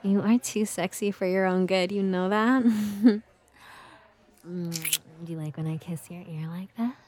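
Woman acting as playful adult girlfriend, intimate audio roleplay, unhurried, breathy, soft mellow tone, teasing. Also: fairly low pitch, fairly even intonation, lightly acted. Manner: breathy, slow, teasing, often dropping into whispers.

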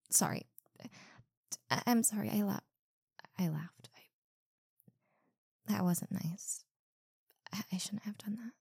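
A rude, insecure college mean girl, voiced by a young woman, sharp-tongued and quick to get defensive, stumbling over hard words. Her voice swings widely up and down while she speaks slowly and deliberately. The sound is close and dry, with no room around it. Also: mid-range voice, sing-song, no echo, exaggerated voice. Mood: soft, sheepish. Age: young adult. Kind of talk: one-sided audio roleplay.

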